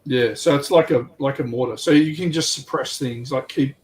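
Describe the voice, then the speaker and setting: Man casually recapping a game, mid-pitched. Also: moderate pace, a little mellow. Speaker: tabletop wargame player on a livestream.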